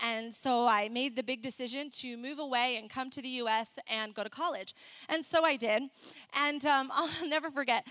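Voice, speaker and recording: A young adult woman who grew up in Ecuador, preaching and telling her own story in a candid, animated way. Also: high voice, no echo. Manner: animated, candid storytelling with laughs and acted asides. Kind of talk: sermon with personal story.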